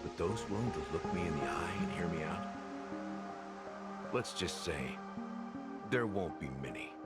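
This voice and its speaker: A world-worn officer from a video game story, with a very low voice. He tells his tale slowly and ruefully, weighed down by guilt and mocking himself, over loud background music. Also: animated. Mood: resigned, brooding. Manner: slow, rueful, self-deprecating storyteller. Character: weary, world-worn male officer haunted by guilt and regret. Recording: music behind the voice.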